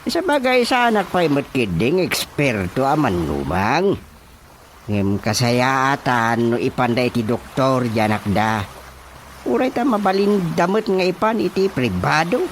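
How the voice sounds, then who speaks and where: A man's voice: mid-range voice, big pitch swings, unhurried, a little hiss or hum. An actor playing a bossy, fussy village chairman and healer in a radio comedy drama, with an Ilocano Filipino accent and a hesitant, muttering manner. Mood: animated.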